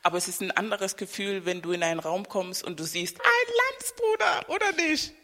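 A woman at a mid pitch telling stories with lively energy in casual conversation. The room adds a long reverb to her voice. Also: normal speed. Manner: lively anecdotal storyteller.

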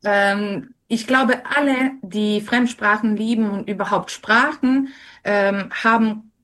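Young woman, slow careful delivery, hesitant interview answers. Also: mid-range voice, steady tone, fairly bright tone. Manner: many fillers and restarts, thoughtful.

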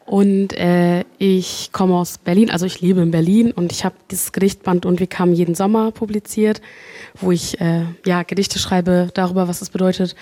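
A woman poet casually introducing herself with frequent filler sounds, then reading her poem more slowly at a live reading. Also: fairly low pitch, dark tone. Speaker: poet and workshop leader.

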